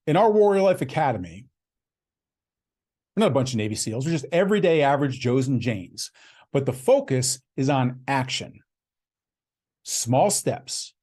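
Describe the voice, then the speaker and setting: A man's voice: a little high, darkish tone. A man in his fifties hosting a podcast, delivering a blunt, emphatic motivational monologue. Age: 50s. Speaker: podcast host.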